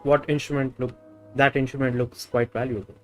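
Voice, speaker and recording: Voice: medium pitch, flat delivery, a little fast, faint music behind. A game streamer giving casual commentary and reading dialogue choices aloud.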